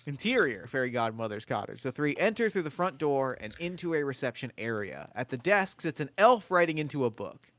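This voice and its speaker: A man's voice: mid-range voice, very animated, fairly quick, few pauses, a bit bright, dry recording. A man reading scripted stage directions as the narrator of a table read.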